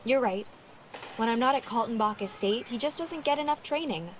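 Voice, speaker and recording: Lady voicing young horse girl in a game, highish earnest voice, some noise behind. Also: a little fast, lightly acted, rough recording. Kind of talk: scripted video game dialogue.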